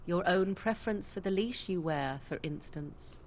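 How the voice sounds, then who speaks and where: A woman's voice: on the low side, normal speed, a little hiss or hum, close to an everyday voice. A young woman voicing a haughty witch of the wilds in a video game, who talks in a cool, cutting, disdainful way. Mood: scornful.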